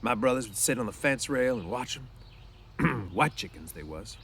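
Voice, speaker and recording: A man's voice: medium pitch, lively intonation, normal speed, vocal fry, a bit bright, slight background noise. A man voicing a lonely, bitter, scornful stable hand in a storybook, with folksy, ungrammatical ranch speech. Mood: dreamy.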